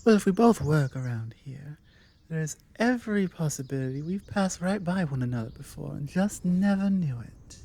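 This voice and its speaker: British female vampire, played by a woman, forward and self-assured, low mellow voice for ASMR roleplay. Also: animated, normal speed. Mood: chatty, musing. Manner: chatty, self-assured, playfully insistent. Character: forward, confident female vampire making flirty small talk.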